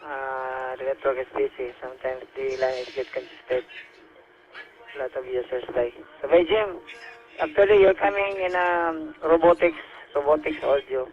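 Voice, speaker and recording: A man's voice: medium pitch, fairly even intonation, normal speed, soft background music. A man with a Filipino accent, an amateur radio operator chatting casually over the air. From Philippines. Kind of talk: casual radio chat with call signs. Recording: muffled radio link audio.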